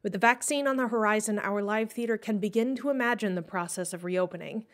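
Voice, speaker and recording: A woman, a theatre managing director, giving an earnest, measured, articulate scripted address to listeners. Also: mid-range voice, fairly bright tone.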